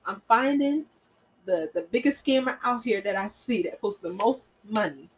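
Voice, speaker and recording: A young woman co-hosting a casual podcast, playful and boastful, her loudness swinging widely as she talks. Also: medium pitch, animated, moderate pace, husky, low-quality recording. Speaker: podcast co-host. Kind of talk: casual chat.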